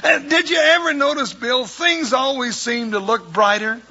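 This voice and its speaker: A man's voice: normal speed, sharp tone, exaggerated voice. A man playing a good-natured, folksy comic cowboy sidekick with an American accent in an old radio western. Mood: jovial. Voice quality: high-pitched, piercing voice. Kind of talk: 1950s radio western acting. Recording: dull, hissy old radio recording.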